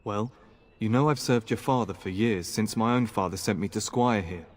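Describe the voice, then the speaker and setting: An actor voicing an earnest, dutiful young knight in a fantasy video game, formal and courteous. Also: male voice, fairly low pitch, normal speed, natural voice. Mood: earnest, respectful.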